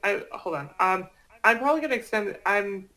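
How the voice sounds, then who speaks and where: A man's voice: high voice, animated, brisk, sharp tone, low-quality recording. A man in a casual group chat, talking hesitantly and often repeating phrases. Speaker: project organiser.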